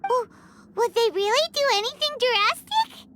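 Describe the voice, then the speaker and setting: A woman's voice: very high voice, animated, normal speed, heavy background noise. A woman voicing a tiny, nosy female fairy companion in a game, who talks about herself in the third person and teases the others. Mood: wry. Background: music.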